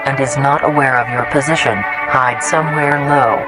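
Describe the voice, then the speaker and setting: A man's voice: mid-range voice, steady tone, normal speed, low-quality recording. A man reading a scripted public safety announcement in a formal, instructional manner. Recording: loud music over the voice. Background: loud music.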